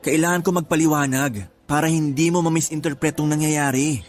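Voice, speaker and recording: An actor playing a defensive young boyfriend in a radio drama, flustered and protesting insistently. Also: male voice, on the high side, fairly even intonation, a little fast, lightly acted. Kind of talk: Tagalog radio drama acting.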